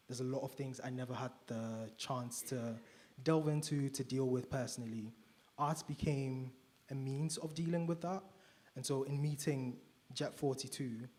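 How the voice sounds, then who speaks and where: A man from Ghana speaking on a panel in a measured, reflective way. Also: medium pitch, a little mellow.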